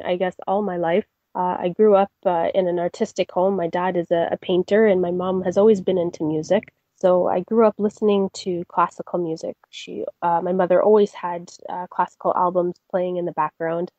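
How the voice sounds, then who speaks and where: A young woman from Canada speaks with a mellow, low-set voice, friendly and full of little fillers. The sound is dry and a bit dull, like a muffled studio recording. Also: dry recording. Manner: friendly, many fillers and restarts. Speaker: guest singer. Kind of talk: casual interview answers.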